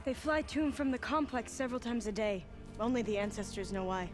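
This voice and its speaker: Actress as an earnest, higher-voiced young diviner. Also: female voice, expressive, fairly quick, lightly acted. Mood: solemn. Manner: formal, slightly mystical phrasing. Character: young female diviner explorer, earnest, reverent and curious. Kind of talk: video game character dialogue. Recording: game music behind the voice. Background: loud game music.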